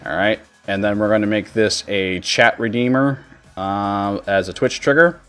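A man giving a casual software tutorial as a streamer, explaining things informally step by step. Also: fairly low pitch, moderate pace, clean tone. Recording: music behind the voice. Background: background music.

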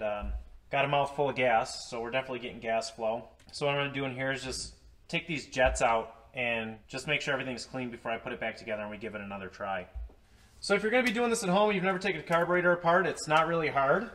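A man's voice: mid-range voice, a bit bright. A man in a workshop casually explaining a repair step by step, with many fillers, recorded on the camera mic. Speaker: DIY repair vlogger. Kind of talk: casual how-to narration. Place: indoor workshop garage.

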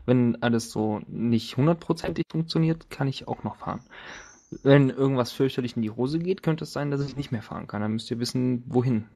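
A man with a medium-pitched voice talks in a loose, colloquial way at a role-playing game. He jokes as he goes and speaks like someone among friends around the table. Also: fairly quick, creaky, dark tone. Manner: colloquial, joking.

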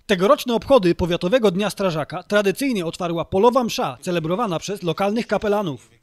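High-pitched male news narrator. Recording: voice-over with music behind. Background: music.

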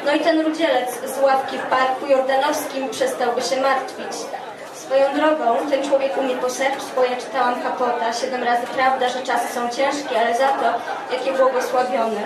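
A young woman reads poetry aloud in measured phrases. Her voice is high, and it carries from a distance through an echoing room with an audience present. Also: fairly even intonation, crowd noise. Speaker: poet reading own work at a festival. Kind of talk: literary poetry reading. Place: dark stage at a live poetry event, indoors. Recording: roomy live stage sound, distant, audience around.

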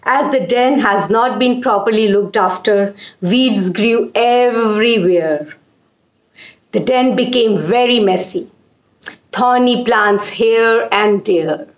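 A woman in her forties with an Indian accent, telling a children's story with deliberate, emphatic repetition. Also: fairly high pitch, highs cut off. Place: small indoor room. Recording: roomy echo.

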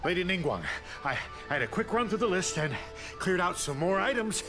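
An actor voicing a nervous warehouse clerk in a video game, talking in a flustered, anxious, over-eager way, with music playing behind. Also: male voice, high voice, lively intonation, fairly quick. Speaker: game character.

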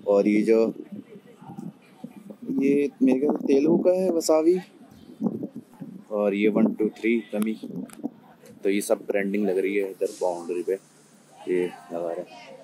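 A man's voice: on the low side, measured pace, long pauses, background noise. A young man vlogging casually at a cricket stadium, chatty and laughing often, filmed on a handheld phone. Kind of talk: casual vlog chat.

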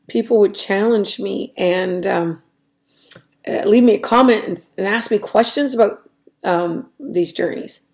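A middle-aged woman with a raspy voice, talking through her weight-loss diary on a webcam at home, hesitant and full of ums. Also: medium pitch, a little mellow. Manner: rambling, hesitant, many ums and restarts. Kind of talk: casual diary vlog. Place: small room at home, indoors. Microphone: webcam at desk.

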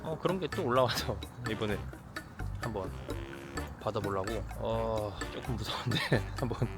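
A man in his thirties hosting a vlog, narrating casually in voice-over with hesitant pauses and fillers. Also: on the low side. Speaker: vlog host. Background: background music.

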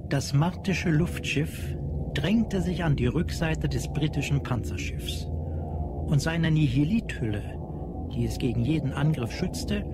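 A man narrating a radio play from a script in a measured, literary, dramatic manner. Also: fairly high pitch. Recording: dry studio with faint music.

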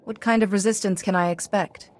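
An actress playing a calm, principled young Jedi in a video game, measured and earnest. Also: female voice, medium pitch, lightly acted. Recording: music playing behind the voice.

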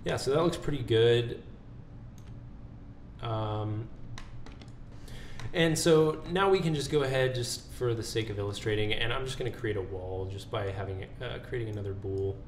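A man presenting a casual software tutorial, informal and thinking aloud as he works. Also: mid-range voice, moderate pace, long pauses. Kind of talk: casual screen-recorded software walkthrough. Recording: roomy, noisy voice-over with keyboard typing.

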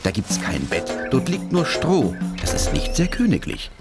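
A man narrating a children's cartoon in a gentle, lively storytelling manner. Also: on the high side, moderate pace. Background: music.

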